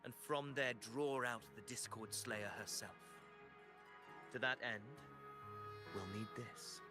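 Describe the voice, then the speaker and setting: A man's voice: mid-range voice, slow pace, music in the background, natural voice. A man playing an earnest, brooding warrior hero in a video game, with formal, solemn and theatrical phrasing. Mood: measured resolve. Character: earnest brooding male warrior hero questioning his own existence. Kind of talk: dramatic video game voice acting.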